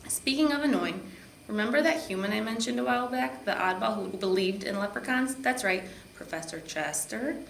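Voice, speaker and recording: A woman narrates a children's storybook in an expressive way. Her pitch is average. The room is echoey, with a little noise behind her. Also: normal speed, vocal fry. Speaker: park district program supervisor reading to children.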